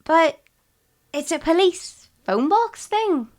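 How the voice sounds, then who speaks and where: A woman acting a feisty, high-voiced young student. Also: big pitch swings, takes it slowly, darkish tone, heavily acted. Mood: incredulous. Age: young adult. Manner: incredulous, sarcastic, stubborn. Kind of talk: amateur audio drama acting.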